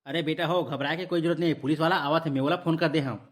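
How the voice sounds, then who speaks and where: A woman voicing a folksy, easily flustered village headman, fatherly yet gruff, in a Chhattisgarhi comedy cartoon. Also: deep voice, fairly even intonation, quick pace, close to an everyday voice. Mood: placating.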